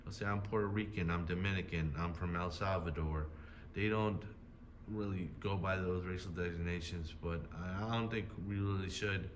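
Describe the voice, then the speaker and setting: A man commenting casually on a video he is reacting to, talking in a hesitant, halting way with many fillers and restarts. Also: deep voice, fairly even intonation, slight background noise. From United States.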